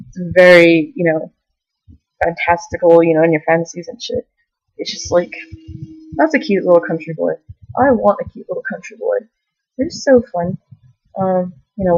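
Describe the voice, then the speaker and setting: A woman's voice: lowish voice, a little mellow, no room sound, lo-fi sound. A young woman vlogging to her camera, rambling loosely with lots of fillers and bursts of enthusiasm. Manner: meandering, filler-heavy, giggly enthusiasm. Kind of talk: rambling casual vlog. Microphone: camera mic.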